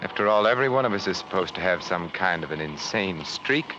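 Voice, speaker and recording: A deep-voiced, measured man, calmly explaining madness in an old radio play. The lo-fi broadcast carries clear train rumble behind him. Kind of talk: 1940s radio acting. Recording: muffled old radio drama with train noise.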